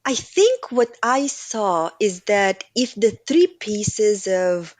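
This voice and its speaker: A middle-aged woman from Bulgaria with a Bulgarian accent, a guest on a podcast, explaining her experience in a measured, structured way. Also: mid-range voice, very animated, no echo. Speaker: guest, operations executive. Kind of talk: podcast interview.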